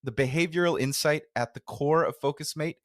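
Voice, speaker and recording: A man's voice: on the high side, brisk, fairly bright tone. A man hosting a podcast, introducing a guest and asking curious, meandering questions. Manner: curious, rambling questions with restarts. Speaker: podcast host.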